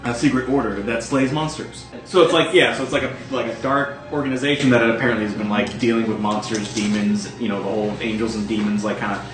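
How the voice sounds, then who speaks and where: A man playing a tabletop game, casually improvising a character description in a halting, rambling way. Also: fairly low pitch, steady tone. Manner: halting, rambling, filler-heavy. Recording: echoey room, music behind.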